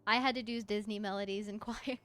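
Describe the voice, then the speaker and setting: A woman chatting casually on a livestream as she plays, answering viewers in an expressive, encouraging, effusive way with a mezzo voice. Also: mid-range voice, fairly quick, clear, resonant tone. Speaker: VTuber live streamer. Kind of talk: casual livestream chat while gaming, answering viewer comments.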